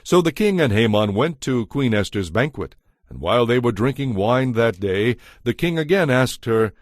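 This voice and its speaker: A man narrating a dramatized Bible in a measured, scripted storytelling style. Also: mid-range voice, very animated, no room sound, studio quality.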